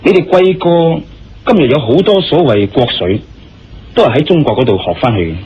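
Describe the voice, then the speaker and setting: A man with a Hong Kong Cantonese accent playing a confident, persuasive injured adventurer in a radio drama, smooth-talking and assertive. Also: on the high side, lively intonation, normal speed. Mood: proud, triumphant. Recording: dull lo-fi radio drama recording. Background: music.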